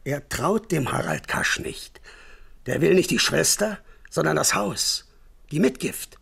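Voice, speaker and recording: Very gravelly village informant, husky. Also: male voice, on the high side, big pitch swings, measured pace, a bit bright, heavily acted. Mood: gossipy. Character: gossipy, well-informed male village comrade helping police. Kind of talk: German radio crime drama acting.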